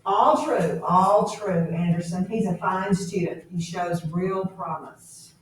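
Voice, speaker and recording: A woman's voice: on the low side, takes it slowly, slight background noise. A woman reading a novel aloud as a skeptical middle-aged male army captain, exasperated and challenging. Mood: weary. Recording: very echoey room, distant mic.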